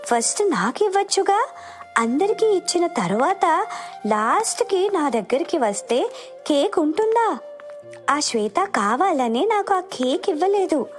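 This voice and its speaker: A woman voicing a childish, expressive little schoolgirl in a children's story. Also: very high voice, a little slow, full character voice. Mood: sulky. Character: sweet, eager little schoolgirl from a poor family. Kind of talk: children's moral story dialogue. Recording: music behind the voice.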